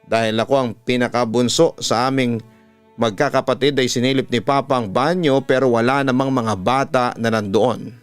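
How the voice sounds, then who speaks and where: Filipino guy narrating a spooky listener letter, smooth clear voice, bit of music under him. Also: mid-range voice, steady tone, moderate pace, no echo. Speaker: host and narrator of a listener-story channel, radio announcer. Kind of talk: dramatic reading of a listener's horror letter. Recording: music bed behind the voice.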